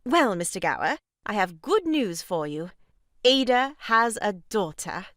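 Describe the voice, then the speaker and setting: An actress playing a married sister-in-law in a stage farce, brisk, gushing and self-important, with a sharp tongue. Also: female voice, medium pitch, big pitch swings, no echo, studio quality. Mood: arch, poised. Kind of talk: stage farce audio drama acting.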